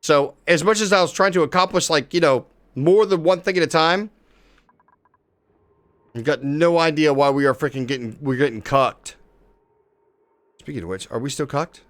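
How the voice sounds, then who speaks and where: A man's voice: on the high side, normal speed, pauses often. A man live-streaming a game, chatting casually with viewers and swearing freely as he plays. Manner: excitable, swears casually, talks to chat.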